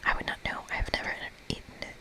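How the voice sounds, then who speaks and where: The voice is a constant breathy whisper with no real voiced tone. It belongs to an ASMR creator reading through a game aloud, adding playful asides along the way. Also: fast, pushed and bright. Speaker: ASMR creator playing a visual novel.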